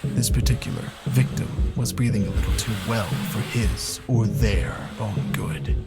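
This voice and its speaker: Weary male cop, noir game storytelling, creaky low voice, brooding, sardonic, music behind. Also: lively intonation, unhurried. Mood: wry, weary. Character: weary, bitter male police detective recounting a serial killer case. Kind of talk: noir video game cutscene narration.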